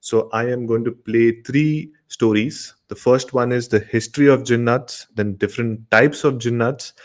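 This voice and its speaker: A man with an Indian accent informally hosting a horror show and telling stories, often repeating words and phrases. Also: mid-range voice. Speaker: host of a horror radio show.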